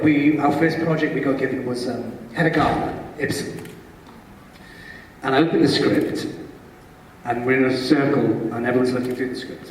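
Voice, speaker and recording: A man's voice: mid-range voice, a little hiss or hum. A Welsh man in his twenties, a native Welsh speaker, telling stories as a guest on stage with self-deprecating humor and frequent laughter. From Wales. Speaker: guest actor. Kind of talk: convention stage Q&A. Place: large convention hall stage. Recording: echoey hall from far off.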